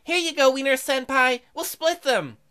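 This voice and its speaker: A woman's voice: normal speed, fairly bright tone. A woman voicing a sweet, eager, easily flustered schoolgirl class president in a visual novel, with a girlish voice. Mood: cheerful, eager. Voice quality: high, girlish.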